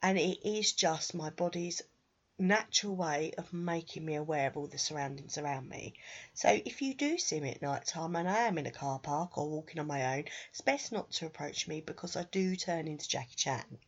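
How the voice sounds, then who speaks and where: A woman's voice: sits low, normal speed, breathy edge. Nasal woman host with a cold. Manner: chatty, laughs at herself. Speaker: podcast host. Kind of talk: solo podcast talk. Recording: dull lo-fi sound.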